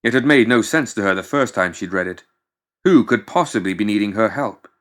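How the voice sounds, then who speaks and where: A man reading scripted fiction as an audiobook narrator, in a measured, dramatic storytelling manner. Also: lowish voice, lively intonation, fairly bright tone.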